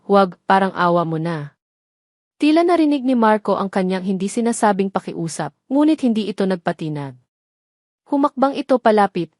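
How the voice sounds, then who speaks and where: A woman reading a scripted romance story in a measured, solemn, dramatic narrating manner. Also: medium pitch, darkish tone, no room sound, studio quality.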